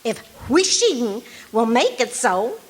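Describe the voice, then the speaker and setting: A woman's voice: fairly high pitch, very animated, takes it slowly, slightly rough, full character voice. A woman playing a patient, dryly teasing wife in an old-time radio comedy, with an affectionate, wry manner. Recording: live stage performance with background noise.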